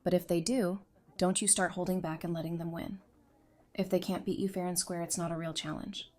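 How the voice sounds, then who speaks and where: A woman's voice: lowish voice, steady tone, brisk, darkish tone, lightly acted. A woman playing a frank, teasing, resilient survivor in audio drama dialogue.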